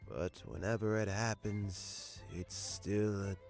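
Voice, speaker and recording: An actor voicing a weary, kindly elderly male official who speaks slowly and gently in a video game. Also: on the low side, steady tone, soft background music. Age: older.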